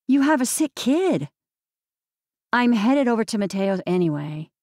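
A woman's voice: on the high side, sing-song, measured pace, a little mellow, no room sound. Young mother, blunt, pointed, harried, voiced by a woman narrator, dry recording. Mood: sharp.

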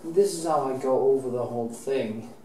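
Norwegian-accented painter, middle-aged; rambling restarts, casual swearing; distant camera mic, faint room hiss. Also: male voice, mid-range voice, a little fast, mellow, reverberant. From Norway. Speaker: painting teacher. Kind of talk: painting demonstration. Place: painting studio, indoors.

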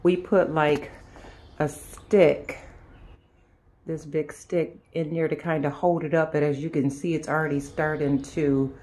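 Woman vlogger w a deep mellow voice, casual house tour chat, kinda halting and rambly. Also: level tone. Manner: halting, unscripted, trailing and circling back. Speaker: home and lifestyle vlogger. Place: inside a house, walking between rooms and sun porch.

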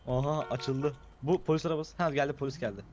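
Fast-talking man gaming with keyboard noise. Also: medium pitch, fairly even intonation, darkish tone. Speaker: gaming partner in roleplay trolling. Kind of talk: casual in-game roleplay chat.

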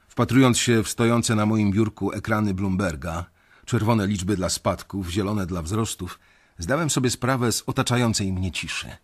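Low, husky young banker narrating. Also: male voice. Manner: measured, literary storytelling. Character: young male City banker recounting his story, reflective. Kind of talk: scripted first-person radio drama narration.

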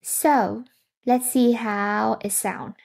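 A young woman walks through a coding demo in an unhurried, chatty way. She thinks aloud and reacts to what she hears. Her voice is recorded on a laptop webcam setup in an indoor room. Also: on the high side, fairly even intonation, creaky, darkish tone. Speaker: tech tutorial host. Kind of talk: casual screen-share tutorial.

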